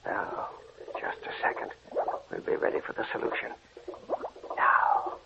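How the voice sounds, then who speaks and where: A man's voice: a little slow, lightly acted. A male actor plays a commanding scientist at work in a vintage radio horror play. He gives clipped, urgent orders with methodical focus. The sound is dull and buried in heavy noise. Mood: intent, urgent. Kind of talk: 1940s radio drama acting.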